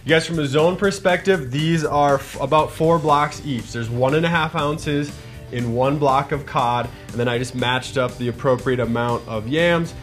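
A man in his thirties with a mid-range voice, hosting a cooking show in a studio kitchen. He talks in a chatty, upbeat way straight to the viewers. Music plays clearly behind him, with a pan sizzling. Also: medium pitch, moderate pace. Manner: energetic, chatty, addresses viewers as guys. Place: home-style studio kitchen, indoors. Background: background music, sizzling pan.

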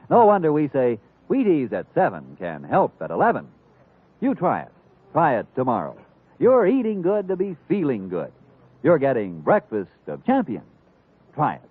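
An American man pitching a product in a vintage radio advertisement, with emphatic, upbeat, salesy slogans. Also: slightly rough. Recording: muffled, narrow old radio recording.

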